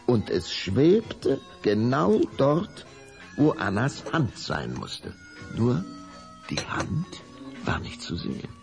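A man's voice: mid-range voice, huge pitch swings, slow pace, creaky, a little mellow, faint music behind. A man narrating a children's audio drama in a dramatic, suspenseful storytelling manner.

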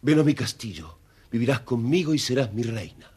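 A man's voice: medium pitch, very animated, brisk, a little mellow, breathy edge. An actor playing a kindly, adoring king, theatrical and courtly, in a dramatized children's story record. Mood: tender.

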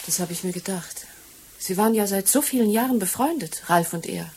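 An actress playing a busy, helpful and frank assistant, talking naturally in a German radio crime drama. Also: female voice, medium pitch, a little fast, a little mellow, a little hiss or hum, close to an everyday voice. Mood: forthcoming. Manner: natural, conversational, forthcoming.

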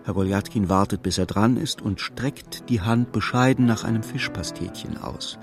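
A man narrating a literary radio play in a measured, precise, wry storytelling manner. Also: on the low side, over music, natural voice.

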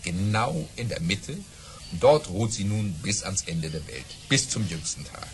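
An actor playing a boastful baron who tells tall tales with theatrical relish in a German audio drama. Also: male voice, on the low side, expressive, measured pace, a little hiss or hum. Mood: grandly boastful.

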